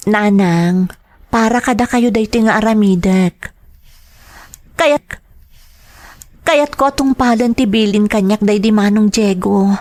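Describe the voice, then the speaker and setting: A woman's voice: mid-range voice, darkish tone, natural voice. A woman with a Filipino accent playing a dutiful, earnest grown daughter in a radio drama. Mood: solemn, resolved. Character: dutiful, grieving grown daughter telling her story. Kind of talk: radio drama acting, slow narration.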